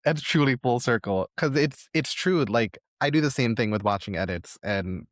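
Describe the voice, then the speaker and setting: A man's voice: medium pitch, lively intonation, normal speed, dry recording, clean studio sound. A man hosting a conversational interview, encouraging and affirming, asking long reflective questions. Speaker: podcast host, interviewer.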